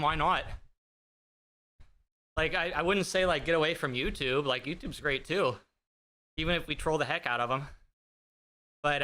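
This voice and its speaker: A man talks to his live viewers from a home streaming desk, rambling, self-deprecating and loose with fillers. His voice is bright, higher than most men's and very clean, picked up close on a desk mic. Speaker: livestream host. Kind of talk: casual chat with live viewers. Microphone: desk streaming mic through an audio mixer.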